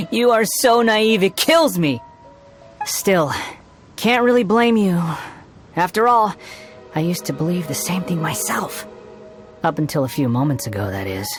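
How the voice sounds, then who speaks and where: Sneering young male villain, low-pitched. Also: lively intonation, slow pace, long pauses. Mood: mocking. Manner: sneering, condescending, theatrical. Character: arrogant young male villain, mocking, volatile and scornful. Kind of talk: scripted video game voice acting. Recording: music behind.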